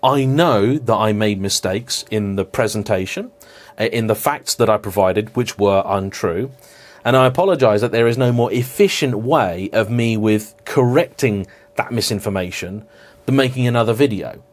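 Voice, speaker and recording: Low-voiced man making a thoughtful apology. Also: moderate pace. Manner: reflective and apologetic, frequent restarts and hedging fillers. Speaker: car vlogger. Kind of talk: unscripted talking-to-camera vlog.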